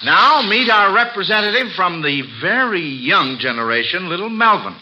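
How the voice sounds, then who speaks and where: A man's voice: sits high, sing-song, moderate pace, background noise. A man hosting an old-time radio quiz for children, with a folksy, avuncular, exclaiming way of talking. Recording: dull vintage radio broadcast.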